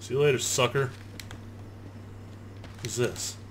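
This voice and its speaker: A man's voice: mid-range voice, steady tone, slow pace. A man giving casual, profane, reactive commentary on a video game he plays, talking to himself. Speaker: gamer recording a playthrough. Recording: clear background noise, game ambience.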